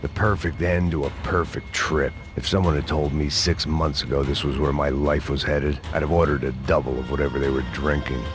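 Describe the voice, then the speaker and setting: An actor playing a sardonic, middle-aged American ex-cop who narrates a noir action game in a deadpan, bitter way. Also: male voice, rumbling low, brisk, hardly any pauses. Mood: weary, sardonic. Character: world-weary, sardonic middle-aged male ex-cop antihero. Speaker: narrator and protagonist. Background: loud dramatic game music.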